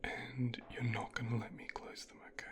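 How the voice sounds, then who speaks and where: A man performing an ASMR roleplay as a teasing, commanding mafia boss's son, speaking softly and often whispering close to the microphone. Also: medium pitch, flat delivery, normal speed. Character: mafia boss's son giving a teasing, domineering medical exam.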